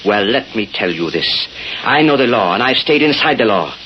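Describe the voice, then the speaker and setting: An actor playing a crooked séance medium, theatrical and insistent, in 1930s radio style. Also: male voice, on the low side, big pitch swings, moderate pace. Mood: defiant. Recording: muffled noisy old radio recording.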